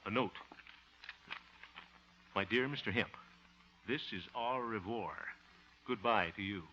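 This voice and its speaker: A man's voice: on the low side, bright, forceful tone. A man playing a bumbling, good-hearted fellow in a 1950 radio sitcom, sentimental and prone to chuckling. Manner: sentimental, chuckling, drawn-out delivery. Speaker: lead comic actor and show host. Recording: muffled noisy 1950 radio broadcast.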